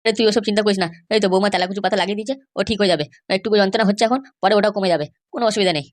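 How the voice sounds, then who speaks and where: A man's voice: sits high, racing, close to an everyday voice. A man voicing an injured, aggrieved older uncle in an amateur comedy cartoon, complaining emphatically. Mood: pained, worried.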